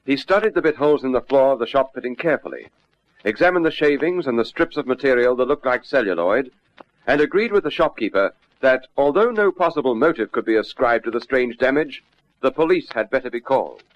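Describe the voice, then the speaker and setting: An actor playing a formal, deliberate narrator of a detective case in a radio drama. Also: male voice, medium pitch, animated, close to an everyday voice. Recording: muffled vintage radio recording.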